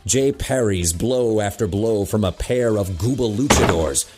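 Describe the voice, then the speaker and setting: A man's voice: fairly low pitch, unhurried, loud music behind the voice. A man narrating a scripted action audio drama with dramatic storytelling delivery.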